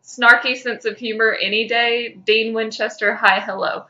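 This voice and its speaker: A woman's voice: on the high side, level tone, normal speed, bright, forceful tone, lo-fi sound. A woman co-hosting a podcast in casual fan chat, speaking in an emphatic, opinionated way. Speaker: podcast co-host.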